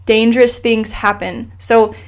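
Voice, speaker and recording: Earnest, impassioned woman, higher voice. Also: steady tone, a little slow. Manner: earnest and impassioned, with frequent restarts and "like". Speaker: guest sharing her family's immigration story. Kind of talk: casual conversational interview.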